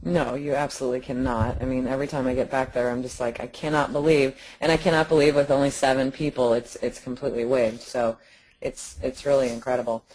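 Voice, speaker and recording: A woman's voice: extremely low pitch, fairly even intonation, a little fast, darkish tone, poor audio. Agreeable woman hosting a business call. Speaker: conference call host.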